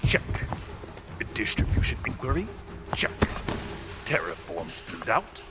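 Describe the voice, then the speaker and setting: Smug, wildly swooping crime boss. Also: male voice, lowish voice, very animated, a little slow, over loud music. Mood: smug, playful. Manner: pompous, taunting, swooping between murmurs and flourishes. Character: theatrical male crime boss posing as legitimate businessman, smug, sly. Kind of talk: audio drama acting.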